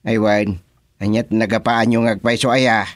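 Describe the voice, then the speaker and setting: An easygoing older village father and councilor, voiced by a man in a radio drama, unhurried and gruff. His speech is slow and plain, and the sound is dry and close. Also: lowish voice, little pitch movement, dry recording, close to an everyday voice. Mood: puzzled.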